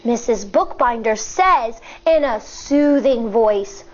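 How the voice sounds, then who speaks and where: Teacher lady reading a kids book, slow sing-songy, slightly muffled studio audio. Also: fairly high pitch. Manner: expressive, sing-song, storyteller. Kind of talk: reading a picture book aloud to children. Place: indoor studio in front of a backdrop.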